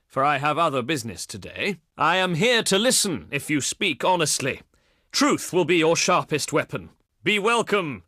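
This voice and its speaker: A man's voice: on the high side, sharp tone, no echo, studio quality, heavily acted. A male actor playing a haughty, eloquent ancient Greek diplomat in a video game, speaking in pompous, declamatory oratory. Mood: impatient, condescending, then stately, earnest. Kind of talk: theatrical scripted game voice lines.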